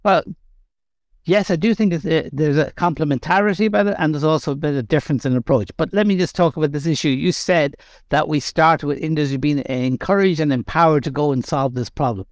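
Man, interview guest, explanatory manner, fairly high pitch, dry sound. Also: brisk, no echo. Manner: steady explanatory, repeats phrases for emphasis. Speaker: guest expert. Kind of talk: conversational interview.

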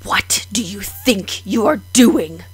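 A woman voicing a fierce, angry and commanding female beast with a husky voice in an audio drama. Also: mid-range voice, takes it slowly, no echo, faint music behind. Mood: furious. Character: fierce, furious female beast mistress of enchanted castle.

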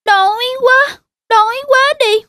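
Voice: very high-pitched, slow, pushed and bright, a little hiss or hum, exaggerated voice. An actor voicing a lazy, whiny young boy mouse who frets and exclaims in a children's cartoon. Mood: whining, hungry.

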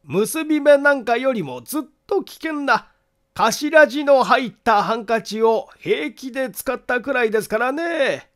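Man putting on a very high-pitched, meticulous detective. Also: lively intonation. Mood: methodical, confident. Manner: deliberate, formal, methodical explaining. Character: meticulous amateur male detective explaining his deductions. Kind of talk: acted audiobook monologue.

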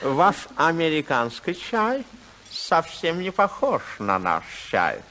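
A man playing a polite, halting Japanese embassy secretary in an old, noisy radio drama. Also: a little high, huge pitch swings, bright, forceful tone, exaggerated voice. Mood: courteous. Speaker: actor in a radio play. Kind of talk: 1950s radio drama acting. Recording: noisy 1950s radio recording.

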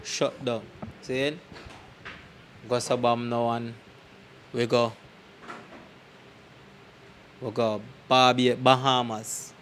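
A man's voice: medium pitch, flat delivery, slow, a bit bright. A man with a Jamaican accent telling anecdotes casually and emphatically into a handheld microphone as an interview guest, often checking that the listener follows. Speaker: interview guest, dancer recalling tours. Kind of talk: casual anecdotal storytelling in patois. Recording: clear background noise and ambience.

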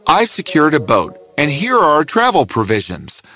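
Very expressive, kindly gentleman doctor. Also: fairly high pitch, normal speed, soft background music, heavily acted. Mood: resolved. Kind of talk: cartoon fairy-tale acting.

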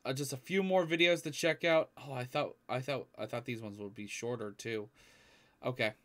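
A man's voice: mid-range voice, steady tone, normal speed, a bit bright. A young adult man giving casual, informal reaction commentary as host of a video, recorded in a room at home. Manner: informal, mild swearing. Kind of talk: casual live reaction commentary, some reading aloud. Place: small indoor room at home.

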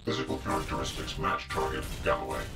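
A man's voice: very deep voice, expressive, quick pace, loud music behind the voice. A man voicing a commanding robot soldier in a video game, giving terse military battlefield orders. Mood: cold, focused.

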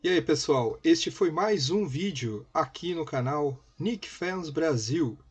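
Husky, lively Brazilian host. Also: male voice, fairly high pitch, soft background music. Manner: rapid, practiced call to subscribe. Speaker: channel host. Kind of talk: scripted channel outro. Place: small indoor home studio. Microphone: headset.

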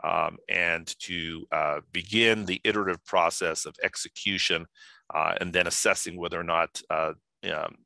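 Older male speaker, very low pitch, crisp bright tone, halting delivery full of fillers, dry video-call mic. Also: steady tone, dry recording. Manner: halting, many filler sounds. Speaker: conference speaker. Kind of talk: video call keynote talk. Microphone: video call webcam.

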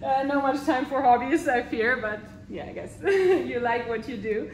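A woman's voice: high-pitched, moderate pace. A woman interviewer asking casual questions, chatty, with lots of short agreeing replies. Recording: echoey room with faint noise.

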